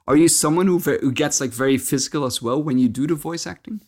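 A man's voice: fairly high pitch, quick pace, darkish tone. A male co-host asking questions hesitantly, with many fillers and restarts. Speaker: podcast co-host. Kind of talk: casual interview chat.

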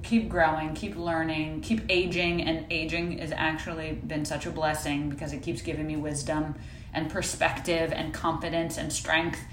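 A woman's voice: deep voice, flat delivery, normal speed. A woman in her thirties co-hosting a podcast, speaking encouragingly and directly to her listeners. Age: 30s. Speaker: podcast co-host, women's coach. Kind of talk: casual chat. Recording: roomy room with faint noise.

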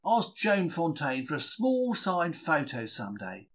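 A man giving an expressive, wry first-person reading of a diary. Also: on the high side, slow. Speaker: diary reader.

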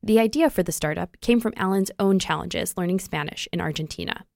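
A woman narrating a news feature in a measured, scripted delivery. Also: lowish voice, vocal fry, no echo, clean studio sound.

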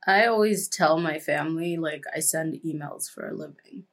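A woman's voice: deep voice, moderate pace. A woman in her twenties from Kenya, a guest chatting casually in an interview with lots of "like" and "um". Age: 20s. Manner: chatty, frequent "like" and "um" fillers.

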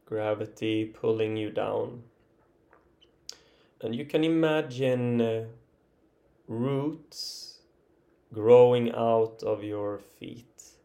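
A man with a mellow, creaky voice guides a meditation at a very slow pace. He sounds gentle and unhurried, improvising his instructions and leaving long pauses between short phrases. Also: fairly low pitch.

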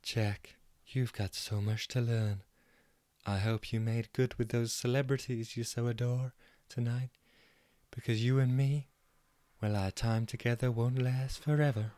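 A soft, knowing woman sleuth, as a male narrator puts her on, speaking in a hushed, quiet tone. The sound is dry and close, with no room echo. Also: mid-range voice, a little slow, close to an everyday voice. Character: hushed, self-assured female amateur detective.

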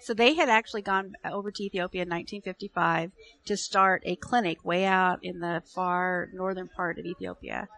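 A woman's voice: lowish voice, steady tone, normal speed, vocal fry, fairly bright tone. A woman with a nursing and public health background, a radio interview guest who talks with hesitations and restarts.

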